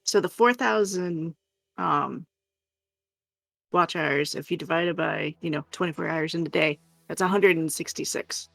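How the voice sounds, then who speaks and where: A man's voice: a little high, a little slow, vocal fry, fairly bright tone, no room sound. A man chatting casually as a co-streamer on a livestream. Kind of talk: casual chat. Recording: dry close sound, music behind. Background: music.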